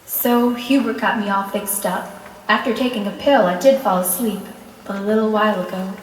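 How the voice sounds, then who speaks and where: A woman playing a terrified, fragile wife in an old-time radio thriller, speaking anxiously and haltingly with dramatic dread. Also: medium pitch, steady tone, natural voice. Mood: hushed dread. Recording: echoey hall, distant mic, background noise.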